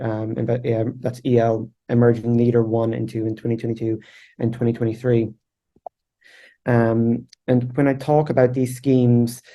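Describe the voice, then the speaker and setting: An Irish man in his thirties gives a conference talk in a low-key, conversational way, leaning on easy fillers. His voice is mellow and dark, with a flat, even pitch. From Ireland. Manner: relaxed, informal, many fillers like "kind of". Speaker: researcher presenting at a webinar. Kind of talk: conference presentation.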